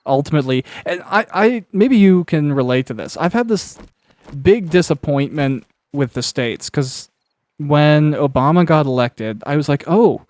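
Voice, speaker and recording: A man's voice: a little high, expressive, unhurried, darkish tone, dry recording. A man hosting a casual chat while playing a video game, rambling along, swearing casually and acting out imagined quotes. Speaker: podcast host interviewing a cast member.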